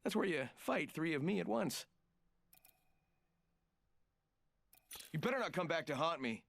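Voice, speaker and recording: A man's voice: a little high, animated, fairly quick, vocal fry, fairly bright tone, exaggerated voice. An actor voicing a cocky, joking male trickster fighter in a video game, with smug, rambling asides. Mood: cocky, playful. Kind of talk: video game character voice lines.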